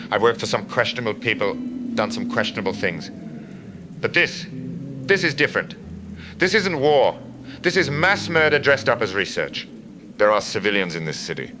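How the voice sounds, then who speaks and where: Man acting a low-voiced, weary mercenary soldier. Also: background noise. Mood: outraged. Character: weary disillusioned male mercenary soldier, bitter and defiant. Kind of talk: audio drama survivor testimony monologue. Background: background music.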